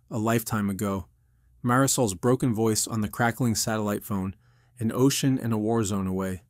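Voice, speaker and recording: A man's voice: medium pitch, a little mellow, no echo, clean studio sound, close to an everyday voice. A man voicing an anguished army veteran who runs a restaurant, narrating his own story in an intense, earnest audio drama style. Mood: stricken, tense. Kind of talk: dramatic first-person scripted narration.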